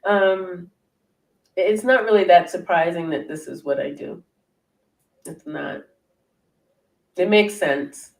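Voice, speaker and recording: A middle-aged woman with a very low, naturally deep voice talks in a self-deprecating, laughing way as a guest on a video call. Her voice sits far from the mic and rings in an echoey room. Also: slow pace, pauses often. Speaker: guest hypnotherapist. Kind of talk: casual interview chat. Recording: roomy sound from far off the mic.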